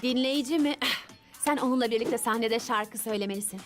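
A woman voicing a confident, inventive young girl in a children's cartoon dub, eager and expressive. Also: on the high side, a little fast, faint music behind, close to an everyday voice. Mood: excited.

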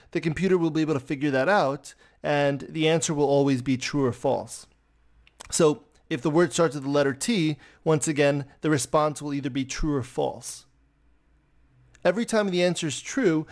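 A man with a fairly high voice, patiently walking through a coding lesson. He explains each step calmly as he works through a screen recording. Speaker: computer science teacher. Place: voice-over recorded over screen capture.

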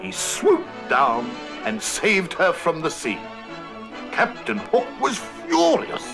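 A man's voice: sits high, huge pitch swings, slow, creaky, music in the background. A man reading a scripted children's story as narrator of a storybook record.

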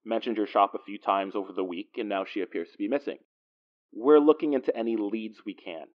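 A man's voice: on the low side, a little fast, natural voice. Guy acting as cocky joking cop in a horror podcast, dry and teasing, bit muffled audio. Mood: brisk, dry. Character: wisecracking, confident male police detective. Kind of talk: naturalistic audio drama acting.

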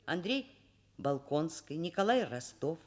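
A woman's voice: sits low, big pitch swings, unhurried, exaggerated voice. An actress playing a wry, educated middle-aged woman professor in a radio drama, both narrating and talking in an ironic, articulate, literary manner. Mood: amused, lecturing.

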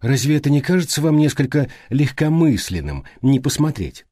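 Guy doing older detective man in an audiobook, insistent, lots of pointed questions, lively pitch, soft mellow tone. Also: normal speed, no echo. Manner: emphatic, reasoning aloud, rhetorical questioning. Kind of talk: audiobook character dialogue.

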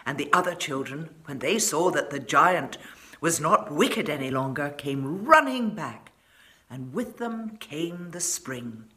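A woman reads a children's story expressively, with dramatic phrasing that drops into hushed tones. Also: sits low, animated, unhurried. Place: furnished living room, indoors.

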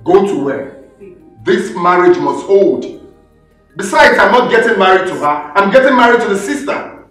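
A man's voice: sits high, expressive, moderate pace, a bit bright, faint music behind. A man with a Nigerian accent playing a headstrong, indignant prince in a film drama, speaking emphatically and repeating himself. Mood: angry, insistent. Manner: emphatic, repetitive, defiant. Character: headstrong, indignant prince defending his marriage. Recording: roomy echoing film sound.

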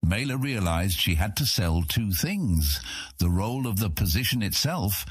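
A male audiobook narrator with a low, husky voice tells a fantasy tale. His pitch moves in lively swings, and the sound is dry and close. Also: normal speed, dry recording. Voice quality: deep, husky.

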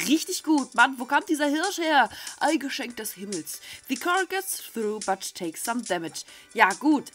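A woman's voice: medium pitch, a bit bright. A middle-aged German woman giving chatty, candid, coarse-mouthed commentary on a game and reading its text aloud into a desk microphone. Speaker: gaming video host. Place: indoors, small home recording setup. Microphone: desk microphone close to the mouth. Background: game music.

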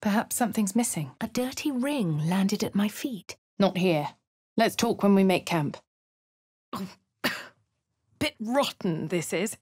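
Posh composed adventurer. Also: female voice, mid-range voice, animated, slow, a little mellow, breathy edge, dry recording, clean studio sound. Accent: posh English. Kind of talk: game character voice lines.

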